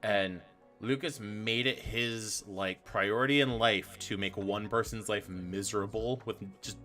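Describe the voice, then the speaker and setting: Young male co-host, bright low-ish voice, rambling and profane, casual chat, faint music behind. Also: unhurried. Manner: rambling, profane, self-correcting storyteller. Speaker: trivia stream co-host.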